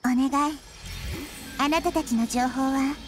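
A little girl deity from an anime game, her voice high and sweetly pitched. She is gentle and wise, speaking in considerate, composed phrases over soft background music. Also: steady tone, a little slow. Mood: gentle, reassuring. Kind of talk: anime game dialogue, Japanese dub.

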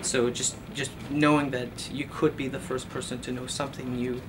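A man's voice: medium pitch, flat delivery, moderate pace, background noise. A man in his thirties, from Iran, speaking earnestly in an interview as a postdoctoral researcher, with many fillers and restarts, recorded in a lab office. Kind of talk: documentary interview.

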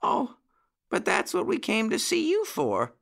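A man voicing excitable little puppy boys in a children's story, eager and pleading with barking exclamations. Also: very high voice, a little slow, creaky, voice pushed up, full character voice.

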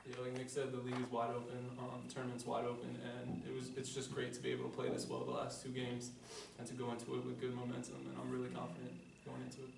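Gravelly, flat young male player. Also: medium pitch, fast. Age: young adult. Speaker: senior college basketball player. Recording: distant, roomy.

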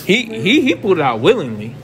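A man's voice: sits high, wildly swooping pitch, fast, fairly bright tone, soft background music. A young man hosting casual street interviews outdoors, joking and teasing in slangy talk.

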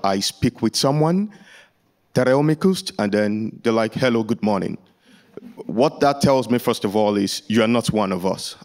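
A young man in a conference audience asking a long, earnest question into a handheld mic. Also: on the low side. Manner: earnest, explaining at length before asking. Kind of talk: spoken question from the floor. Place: large conference hall, seated in audience. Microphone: handheld microphone.

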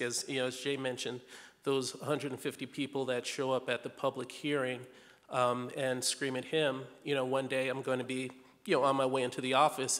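A man from the finance side answers on a panel in a steady, flat, mid-range voice, peppering his speech with "you know." A long reverb from the large hall trails his words. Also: medium pitch. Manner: leans on "you know" constantly. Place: large auditorium. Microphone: table mic.

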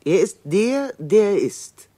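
Lively, pondering male commentator. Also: high-pitched, slow, dry recording, exaggerated voice. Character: pondering male commentator turning wordplay over. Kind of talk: literary radio play recitation.

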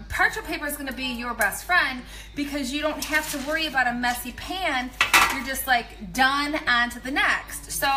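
A woman's voice: high voice, low-quality recording. Chatty pregnant woman in her kitchen. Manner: chatty, rambling, animated asides. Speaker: nutrition coach. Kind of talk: casual live video tips. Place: home kitchen, indoors. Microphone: phone at arm's length.

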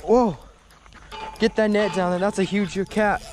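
A young man, excitedly vlogging while fishing from a bridge over a river. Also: high voice, a little slow, music in the background. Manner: excitable, repeats exclamations. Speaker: fishing channel host.

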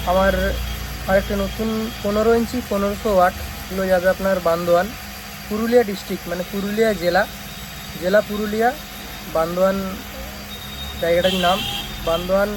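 A man with a West Bengal Bengali accent casually presenting products in a vlog, with emphatic, repetitive sales talk and frequent check-in tags, recorded on a phone. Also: high-pitched. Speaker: speaker repair shop owner and video host. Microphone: phone camera. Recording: noisy, engine-like hum and hiss.

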